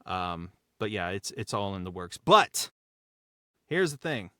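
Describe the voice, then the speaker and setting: A man's voice: sits low, sing-song, a little slow, fairly bright tone, dry recording, studio quality. A man chatting casually and enthusiastically as a podcast creator, effusive and repeating phrases for emphasis. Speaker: show creator and writer. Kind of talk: casual behind-the-scenes podcast talk.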